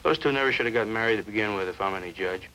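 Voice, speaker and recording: Bright, fast-talking, opinionated neighbor witness. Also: male voice, lowish voice. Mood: eager, opinionated. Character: talkative, opinionated male neighbor, eager witness. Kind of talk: 1950s television crime drama acting. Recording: faintly noisy 1950s television soundtrack.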